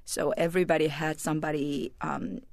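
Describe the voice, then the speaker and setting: A woman in her forties from South Korea, speaking with a Korean accent, thoughtful and reflective, pausing often with ums and restarts as a radio interview guest. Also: sits low, level tone, gravelly, darkish tone, no room sound, studio quality. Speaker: author and journalist guest.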